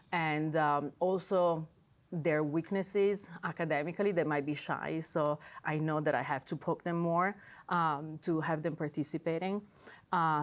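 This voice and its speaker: A woman with a heavy Italian accent answers interview questions in a low voice. She is a native Italian speaker who talks with many fillers and pauses and laughs easily. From Italy. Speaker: high school teacher.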